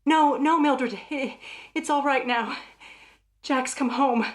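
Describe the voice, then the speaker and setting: A woman's voice: sits high, slow pace. A woman playing an anxious, frightened wife in a radio play, with a faltering, pleading delivery. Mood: shaken.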